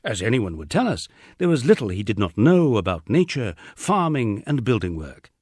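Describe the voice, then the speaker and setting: A man narrates a humorous memoir in a fairly low voice. His intonation rises and falls freely, giving the scripted story a wry, lively shape. The sound is very dry, with no room around it. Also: normal speed, breathy edge, no echo. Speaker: audiobook narrator.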